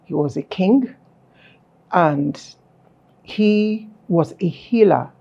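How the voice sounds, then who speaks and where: A woman's voice: fairly low pitch, lively intonation, extremely slow, creaky, breathy edge, dull audio. A woman, a guest on an interview, explaining her beliefs firmly and stressing key words. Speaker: guest, film festival founder. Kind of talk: earnest explanatory interview talk.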